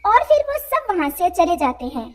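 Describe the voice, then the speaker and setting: A woman narrates a children's tale from a script. Her voice is extremely high, and her intonation moves in lively swings. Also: moderate pace.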